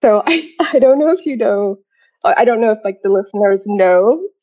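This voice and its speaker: A woman's voice: medium pitch, animated, moderate pace. A young woman from Hong Kong, a guest entrepreneur talking casually in an interview, with lots of fillers and restarts. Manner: chatty, many fillers and restarts. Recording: slightly dull lo-fi sound.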